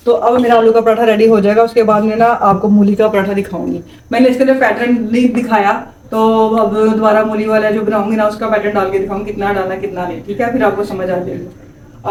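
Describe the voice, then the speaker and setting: A woman's voice: medium pitch, darkish tone. A woman with a Pakistani accent, chatting with viewers and explaining each step as she cooks at home. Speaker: home cook, vlog regular. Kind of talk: casual cooking demonstration. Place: home kitchen. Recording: roomy, from a distance, faint background hum.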